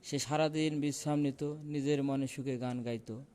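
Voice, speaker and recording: A man's voice: medium pitch, fairly even intonation, normal speed, dark tone. A man reading a scripted fable as a storyteller.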